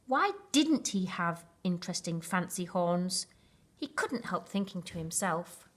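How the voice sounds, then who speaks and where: A woman reading a children's bedtime story aloud in an expressive, deliberate storytelling manner. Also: fairly low pitch. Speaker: school storyteller.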